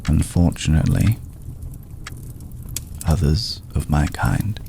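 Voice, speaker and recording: A man playing an ancient, aristocratic vampire in an ASMR roleplay, formal, hushed and gravelly, protective and vengeful, with deliberate pauses. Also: extremely low pitch, slight background noise, heavily acted. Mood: cold, vengeful. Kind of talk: ASMR roleplay, slow intimate monologue.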